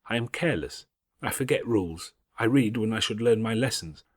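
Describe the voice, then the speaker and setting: A man voicing a patient, devout, bookish older schoolgirl in an audiobook, speaking in a measured, earnest, moralising way. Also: lowish voice, expressive, dry recording, pitched above the performer's own voice. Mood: grave, self-reproachful. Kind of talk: audiobook character voice.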